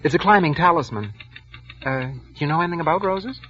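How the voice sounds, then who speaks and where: Jittery bookkeeper, muffled old radio. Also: male voice, on the high side, animated, brisk, bright, forceful tone. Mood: shy, nervous. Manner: hesitant, stammering, defensive. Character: meek, jittery, touchy male bookkeeper, henpecked daydreamer. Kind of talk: 1940s radio drama acting with first-person narration. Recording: muffled vintage radio broadcast.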